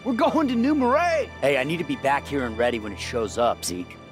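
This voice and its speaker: Scripted dialogue from a video game cutscene, several characters in cinematic delivery. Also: male voice, medium pitch, big pitch swings, fairly quick, faint music behind, natural voice. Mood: in-game scene. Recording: game audio heard from a distance.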